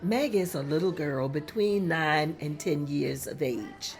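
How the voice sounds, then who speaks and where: A woman narrating a script at a table read, reading scene directions in a plain, steady way. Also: low-pitched, unhurried.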